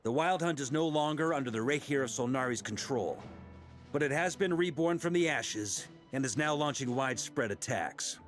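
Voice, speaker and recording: Casting: male actor as assured fantasy-game commander, medium pitch, lively inflection, pragmatic, tactical, steady. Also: close to an everyday voice. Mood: briskly businesslike. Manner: measured tactical explaining. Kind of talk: video game voice acting. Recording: music behind.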